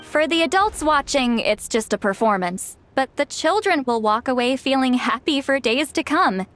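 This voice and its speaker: Bookish young female game role: high voice, articulate, gentle, music clearly behind. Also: lively intonation, moderate pace. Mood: thoughtful, gentle. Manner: articulate, explanatory. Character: bookish, gentle young woman, thoughtful storyteller. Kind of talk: video game voice acting.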